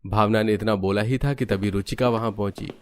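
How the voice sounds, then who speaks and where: A man's voice: fairly low pitch, fairly even intonation, fairly quick. A man narrating a scripted cartoon family story as a storyteller.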